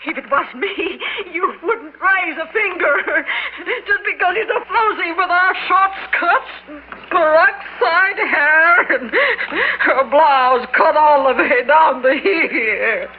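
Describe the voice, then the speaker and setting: An actress playing Bessie, a bitter, self-pitying middle-aged shopkeeper's wife, in an old radio drama. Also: female voice, very high-pitched, slow pace. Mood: shrill. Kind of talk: 1950s radio drama acting. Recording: muffled old radio broadcast with hiss.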